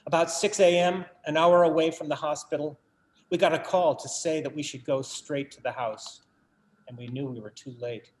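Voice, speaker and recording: An actor in a staged reading, playing a bereaved writer son who tells his family story in a measured, literary, wry way. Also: fairly high pitch, fairly even intonation, a little mellow, natural voice, rough recording. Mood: somber, reflective. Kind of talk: dramatic monologue in a staged reading.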